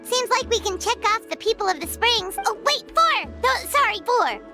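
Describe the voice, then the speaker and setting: Bouncy teasing young girl, very high voice, lively swings, loud twinkly game music. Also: moderate pace.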